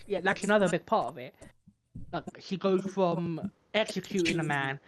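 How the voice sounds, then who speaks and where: Co-host arguing about a film, keeps saying "i'm aware, but", chill mid-pitch voice. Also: normal speed, noisy background. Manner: argumentative, pushes back repeatedly. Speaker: podcast co-host. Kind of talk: casual film discussion podcast.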